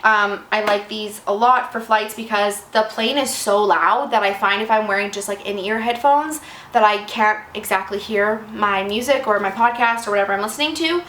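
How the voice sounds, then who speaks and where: A woman in her twenties with a slightly raspy voice from a cold, chatting casually and rambling a little. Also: medium pitch, moderate pace, a bit bright. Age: 20s. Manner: chatty, rambling, self-deprecating. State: fighting off a cold. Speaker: vlogger. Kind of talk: casual chat. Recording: echoey room with faint noise.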